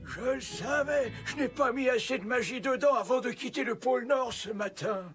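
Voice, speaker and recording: A man's voice: very high voice, normal speed, music in the background. A man voicing a kindly, jolly old Father Christmas motorbike in a children's cartoon, with theatrical exclamations. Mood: flustered. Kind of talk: animated children's cartoon dialogue.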